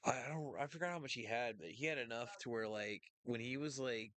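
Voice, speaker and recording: A man co-hosting a live stream in relaxed, slangy chat. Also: mid-range voice, brisk, no room sound. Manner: laid-back, slangy, says bro and man. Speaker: co-host.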